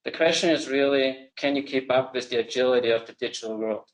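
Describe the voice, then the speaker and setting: Austrian-accented man giving a business pitch. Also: roomy sound, rough recording. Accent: Austrian German. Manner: measured, slows to stress key phrases. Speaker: company founder presenting. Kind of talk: business presentation.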